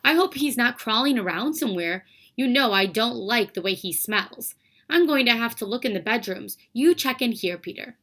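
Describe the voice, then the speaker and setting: Flustered storybook mother, fussy and raised pitch, as a reader puts her on. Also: animated, moderate pace, a bit bright.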